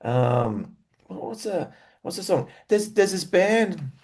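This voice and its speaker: A man's voice: high-pitched, very animated, takes it slowly, a little mellow, slightly rough. A man with an Australian accent chatting casually as an interview guest, telling stories in a laid-back, slangy way with enthusiasm for music. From Western Australia.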